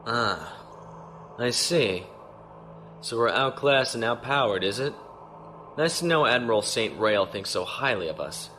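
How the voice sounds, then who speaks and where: An actor playing a steady, courteous starship captain in a science fiction audio drama, crisp and authoritative. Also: male voice, medium pitch, unhurried, pauses often, a little hiss or hum. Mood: composed, wry. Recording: faint music behind.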